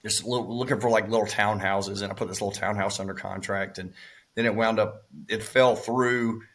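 A middle-aged man with a cold-roughened, raspy voice, telling his story as a podcast guest with many fillers and restarts. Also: on the low side, fairly even intonation, moderate pace, a bit bright. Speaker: real estate investor guest. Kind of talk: casual storytelling interview.

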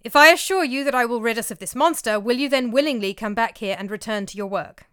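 A woman's voice: on the high side, quick pace, a bit bright, smooth, no room sound, studio quality, lightly acted. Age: adult. Figure: a woman voicing a stern Norse chieftain. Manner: commanding, clipped. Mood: resolute.

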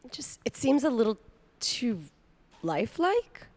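A woman's voice: a little high, very animated, a little slow, a little mellow. A woman playing a mild, hesitant wife and mother in improvised radio comedy. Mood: uneasy.

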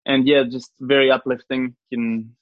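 A man's voice: mid-range voice, level tone, moderate pace, no room sound, narrow, tinny audio. A young man from Switzerland with a New Zealand-influenced accent, chatting earnestly and casually as an interview guest. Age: young adult. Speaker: podcast guest, church worship singer.

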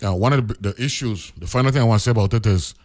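Virgin islands accent, middle-aged radio guy, lowish voice, just chatting on air and telling stories. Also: racing, darkish tone. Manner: conversational storytelling, keeps asking "right?". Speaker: radio host. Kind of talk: casual radio commentary.

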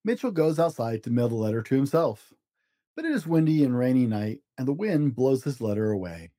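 A man reads a children's storybook aloud as the host of a read-along. His voice is relaxed and mellow, with animated rises and falls. The sound is dry, and the delivery is simple and expressive for young listeners. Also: medium pitch, brisk, no echo.

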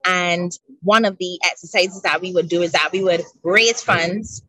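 A woman's voice: fairly low pitch, fairly even intonation, moderate pace, pushed and bright, no room sound, rough recording. A woman in her thirties from Barbados, a podcast guest telling earnest stories about her childhood, recorded outdoors by the ocean. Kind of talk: relaxed interview chat. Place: outdoors by the sea.

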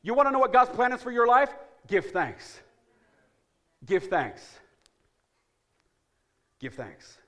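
A middle-aged man preaching a sermon as pastor, repeating phrases for emphasis and swinging from loud calls to hushed asides. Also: extremely high pitch, very animated, slow, long pauses.

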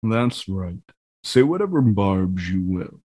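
Man voicing a low, dark, mocking villain. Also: very animated, slightly rough, no room sound, heavily acted. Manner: slow, taunting, formal. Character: menacing, mocking otherworldly male villain, coolly assured.

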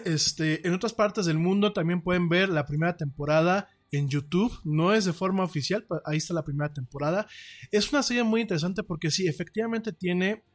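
A man with a Mexican Spanish accent hosting a casual solo podcast, rambling chattily with restarts and fillers. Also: on the high side. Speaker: podcast host.

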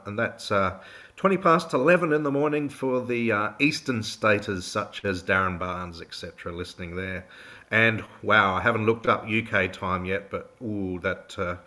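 A man with an Australian accent co-hosting a radio show in a studio, slightly croaky in a relaxed, rambling chat. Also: on the low side. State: hoarse. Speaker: radio co-host.

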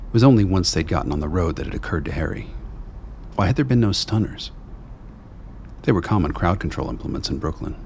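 Very deep, gravelly male narrator. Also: slight background noise. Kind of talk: scripted dramatic narration for a radio play.

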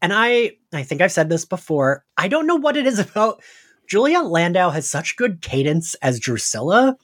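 A man's voice: high voice, normal speed. A man co-hosting a casual pop-culture podcast, chatty and peppering his talk with "like". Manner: chatty, frequent "like", animated quoting. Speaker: podcast co-host.

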